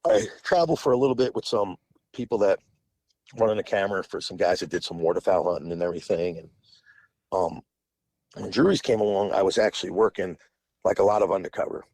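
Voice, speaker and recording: Husky man in his forties. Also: deep voice, lively intonation, quick pace, darkish tone, no room sound. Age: 40s. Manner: rambling, restarts often, laughs between phrases. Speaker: podcast guest. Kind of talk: casual interview storytelling.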